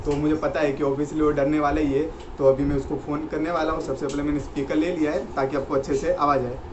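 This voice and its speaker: A young Indian man with a slightly high voice chats casually to camera as he hosts a prank video. The sound is dull, with clear background noise in a small room. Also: flat delivery, quick pace. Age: young adult. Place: small plain room indoors. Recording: dull, noisy room recording.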